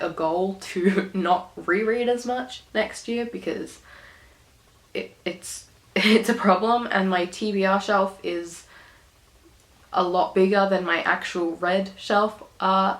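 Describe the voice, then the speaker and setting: A young woman with an Australian accent talks in a chatty, giggly way, trailing off and laughing between thoughts. Her voice is a little hoarse and worn from being sick. The sound bounces around an echoey room at home. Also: medium pitch. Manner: chatty, giggly, rambling with fillers. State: hoarse from recovering illness. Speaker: book vlogger. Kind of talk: casual chat to camera.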